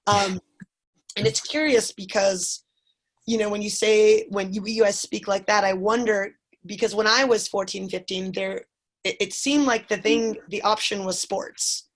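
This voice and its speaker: A woman's voice: medium pitch, fairly even intonation, measured pace. Musing woman in her forties on a call. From Chicago. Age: 40s. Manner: musing, restarts often, thinks aloud. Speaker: group call participant. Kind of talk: casual group video call. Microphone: video call.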